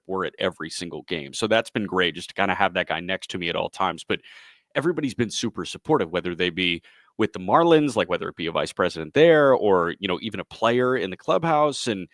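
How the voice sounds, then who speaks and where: Low-voiced young American man, dry sound. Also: a bit bright, no echo. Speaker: baseball play-by-play broadcaster, interview guest. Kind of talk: casual conversational interview answers.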